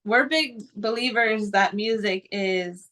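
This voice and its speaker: A woman's voice: mid-range voice, slow, pushed and bright. A woman co-hosting a podcast, talking casually and earnestly, with frequent restarts. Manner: earnest, spiritual talk, restarts. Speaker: podcast co-host.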